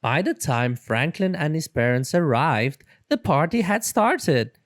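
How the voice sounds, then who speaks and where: A storyteller reading a children's book aloud in an expressive, dramatic and deliberate way. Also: mid-range voice, no room sound, clean studio sound. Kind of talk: expressive read-aloud narration.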